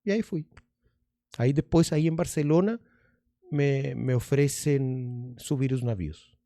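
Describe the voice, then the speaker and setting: A man's voice: mid-range voice, a little slow, dark tone, no echo, clean studio sound. A middle-aged man with a Spanish accent, a native Spanish speaker from Argentina, telling stories as a magician and comedian in a casual interview, rambling and restarting, slipping in Spanish words.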